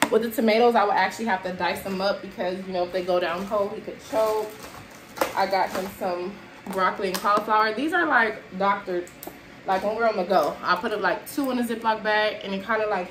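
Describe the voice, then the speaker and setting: A woman's voice: medium pitch, a little fast. Chatty young woman in an echoey kitchen. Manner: chatty, addresses viewers as y'all, moving around while unpacking bags. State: tired and sweaty. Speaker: vlogger showing a grocery haul. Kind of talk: casual chat to viewers. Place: small apartment kitchen, indoors. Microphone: camera at a distance across the room. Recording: echoey kitchen with background noise.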